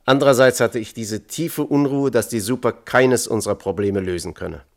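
An actor playing a thoughtful, conscience-troubled physicist testifying as a witness in a German radio play, measured and candid. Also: male voice, mid-range voice, lightly acted. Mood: grave, troubled.

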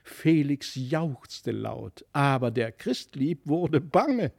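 Creaky-voiced man reading a fairy tale. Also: medium pitch, very animated, very slow, darkish tone, no room sound, clean studio sound. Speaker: audiobook narrator. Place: dry studio.

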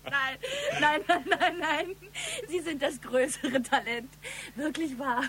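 A woman's voice: high-pitched, steady tone, takes it slowly, a bit bright, a little hiss or hum. An actress playing an effusive, girlish young shop assistant in a German radio crime drama. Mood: giddy, amazed. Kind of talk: German radio play dialogue.

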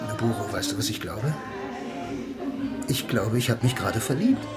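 Over music comes a lonely noir hero in a radio play, with a low voice. He speaks in a measured, deadpan manner, dry and wistful, like someone confiding his own story. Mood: quietly smitten. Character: blind lonely bank clerk, film-noir romantic, dry and wistful. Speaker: first-person narrator and lead.

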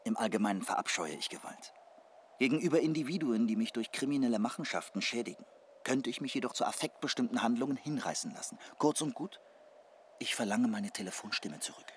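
A man's voice: medium pitch, expressive, brisk, slight background noise, close to an everyday voice. A man playing a pompous, aggrieved caller who makes threats in stilted, over-formal courtesy, in a comic radio drama. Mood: courteous menace.

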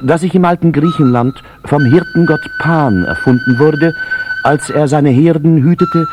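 A man's voice: fairly high pitch, wildly swooping pitch, unhurried, background noise, pitched above the performer's own voice. A man voicing a polite, proud female pan flute in a musical children's story.

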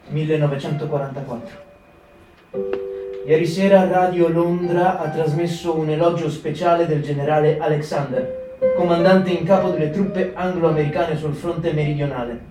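A high-voiced man reads a diary aloud in a measured, grave way. He sits back from the mic in a roomy space. Music plays clearly behind him. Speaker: actor in a staged reading. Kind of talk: reading a wartime diary aloud. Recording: roomy radio studio, from a distance, with music.

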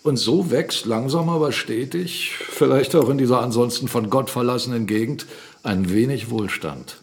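An actor playing a proud, brusque mill owner and captain in a radio drama, boastful and quick to argue. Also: male voice, mid-range voice, creaky, a little hiss or hum, close to an everyday voice. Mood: insistent. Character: blunt, proud mill-owning captain, a gruff businessman.

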